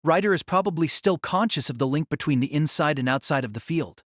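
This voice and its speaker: A man's voice: a little high, animated, a little fast, fairly bright tone, no echo, clean studio sound. A man reading scripted novel narration for an audio drama.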